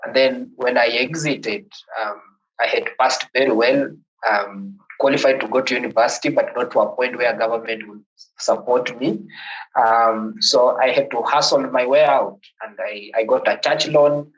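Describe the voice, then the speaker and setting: A man's voice: medium pitch, steady tone, normal speed, dull audio. A man from Kenya, with a Kenyan accent, in his thirties, telling a podcast host his life story in a reflective way and often repeating words as he begins sentences. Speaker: guest.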